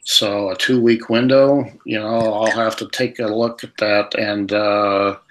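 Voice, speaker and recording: Man, co-host, slow, low voice, hesitant, many fillers. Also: flat delivery, fairly bright tone, rough recording. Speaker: radio show co-host relaying caller questions.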